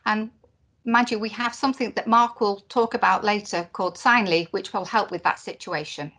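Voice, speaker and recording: A woman with a mid-range voice speaks for a deaf presenter at a conference, turning sign language into spoken English. She works phrase by phrase, with pauses and fillers between the chunks. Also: mid-range voice, fairly even intonation, a bit bright. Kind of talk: live voiced interpretation of a conference talk.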